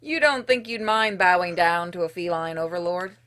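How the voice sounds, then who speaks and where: Woman, lower-pitched voice, game streamer, expressive storybook-style narration, dry casual asides. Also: normal speed. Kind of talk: reading visual novel narration aloud with casual asides.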